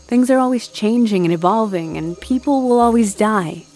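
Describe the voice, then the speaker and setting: A woman's voice: fairly high pitch, normal speed, darkish tone, natural voice. An actress playing a thoughtful, world-weary, centuries-old immortal woman in an audio drama, speaking earnestly and reflectively.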